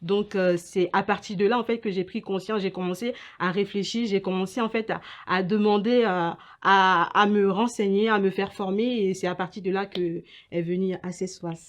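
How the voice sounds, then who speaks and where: A young woman from Ghana and Togo giving halting interview answers as an entrepreneur, often repeating her words. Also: mid-range voice, steady tone. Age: young adult. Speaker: entrepreneur and student being interviewed. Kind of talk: interview answers about own business.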